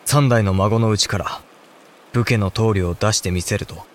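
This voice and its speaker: A man's voice: fairly low pitch, moderate pace, slight background noise, close to an everyday voice. A man playing an earnest young samurai heir, formal, respectful and intense with his father, in a Japanese historical audio drama. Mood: solemn.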